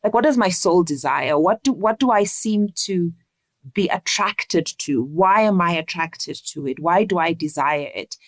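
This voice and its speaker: A woman from Zimbabwe, speaking earnestly and deliberately as a reflective interview guest. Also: lowish voice, lively intonation, no echo. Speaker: interview guest, leadership coach.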